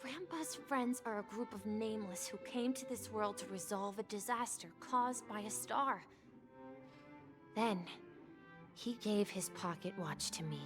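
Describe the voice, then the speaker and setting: A woman playing a gentle, wistful young boy in a story-driven video game, speaking in a halting, reflective way. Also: mid-range voice, music in the background, close to an everyday voice. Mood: wistful remembering.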